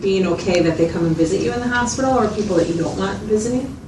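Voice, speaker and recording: A woman's voice: mid-range voice, quick pace, lightly acted. Woman acting a methodical clinician, noisy echoey room. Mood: calm, checking. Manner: checking questions, summing up answers, hesitant phrasing. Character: patient, methodical female mental health facilitator interviewing a client. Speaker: clinician facilitator. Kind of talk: role-played clinical interview. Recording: roomy, distant mic, loud background noise.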